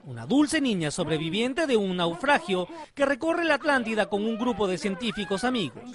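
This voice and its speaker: A man's voice: sits high, sing-song, normal speed, a crowd in the background. A man with a Peruvian accent narrating a scripted TV feature as a reporter, in a theatrical, emphatic storytelling manner. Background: music behind the voice.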